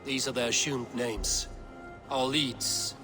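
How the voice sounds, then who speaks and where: A man plays a calm, blunt Viking warrior with a mid-range voice, terse and steady in every line. He speaks in a measured way, as in a game cutscene, with clear music running behind him. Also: mid-range voice, natural voice. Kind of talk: video game cutscene acting.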